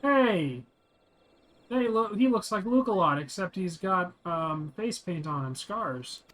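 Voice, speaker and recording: A man's voice: high-pitched, expressive, takes it slowly, a bit bright. A man giving casual, blunt and sweary commentary as he plays and describes a video game. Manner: reads game dialogue aloud. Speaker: video game streamer describing gameplay for blind listeners.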